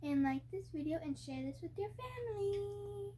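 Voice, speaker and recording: A young child reading a storybook aloud, haltingly and word by word, stumbling over harder words. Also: extremely high pitch, lively intonation, warm tone, a little hiss or hum.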